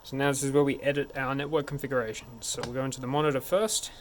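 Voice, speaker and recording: A man's voice: medium pitch, fairly even intonation, quick pace. A man narrating a hands-on technical tutorial in an informal, step-by-step way. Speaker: tech support instructor. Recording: clear background noise.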